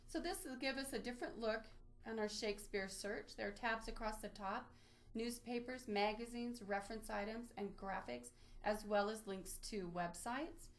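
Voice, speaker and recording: A woman's voice: a little high, normal speed. A woman presenting an instructional webinar, explaining step by step as she walks listeners through a screen demonstration. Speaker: webinar presenter, library trainer. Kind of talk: instructional screen-share walkthrough, semi-scripted.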